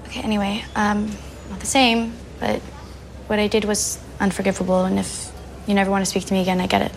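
An actress playing an earnest, apologetic teenage girl who talks quickly and rambles a little. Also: medium pitch, completely flat delivery, gravelly, lightly acted. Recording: dialogue over series music.

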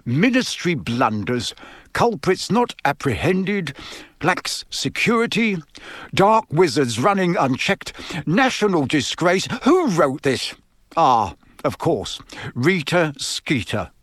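A man voicing a weary, measured middle-aged father who works as a civil servant, in a storybook reading. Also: on the high side, sing-song, fairly bright tone, dry recording, pitched above the performer's own voice, exaggerated voice. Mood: heavy dismay.

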